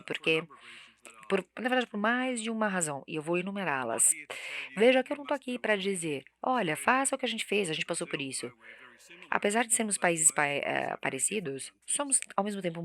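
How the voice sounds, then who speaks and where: Hesitant woman interpreting live, low voice. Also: fairly bright tone. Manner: hesitant, self-correcting. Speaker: simultaneous interpreter.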